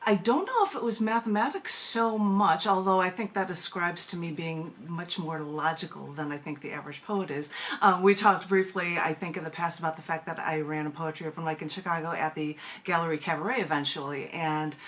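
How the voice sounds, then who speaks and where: A woman's voice: fairly low pitch, brisk, fairly bright tone. Chatty woman guest on a video call, laughs a lot, keeps restarting her sentences. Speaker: poet and magazine editor, guest. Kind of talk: radio interview over video call.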